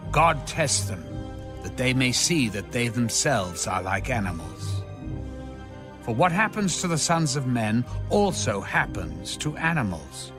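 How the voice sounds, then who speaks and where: A man giving a solemn, measured and dramatic narration of scripture. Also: mid-range voice. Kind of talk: solemn audio Bible narration. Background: background music.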